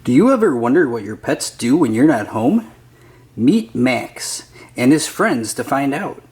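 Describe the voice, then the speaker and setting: A man's voice: mid-range voice, sing-song, measured pace, darkish tone. A man reading a children's picture book aloud as a scripted narrator.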